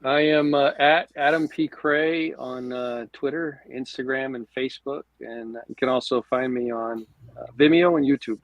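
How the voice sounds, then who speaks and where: A man's voice: medium pitch, steady tone, moderate pace, no room sound, muffled recording. A man speaking casually as a podcast guest. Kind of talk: casual chat.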